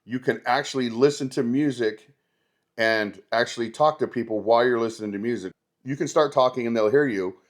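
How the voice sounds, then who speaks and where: A man's voice: medium pitch, fairly quick. A man talking casually and conversationally as a product reviewer, showing items step by step in an unboxing video.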